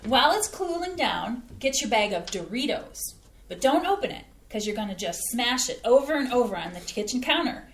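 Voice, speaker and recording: A woman's voice: fairly high pitch, big pitch swings, normal speed, a little hiss or hum. Folksy, eager-to-please mom who loves her own cooking, read by a woman; bouncy delivery, echoey stage. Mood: chatty. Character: cheerful homey mother, proud eager home cook. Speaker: stage reading actor. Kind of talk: community theater staged reading. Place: small community playhouse stage.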